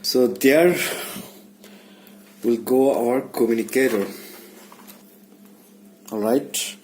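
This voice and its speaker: A man giving a casual hands-on tutorial, speaking haltingly and muttering to himself as he works indoors. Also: medium pitch, a little mellow. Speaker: DIY vlogger. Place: small indoor room. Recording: background noise, room ambience.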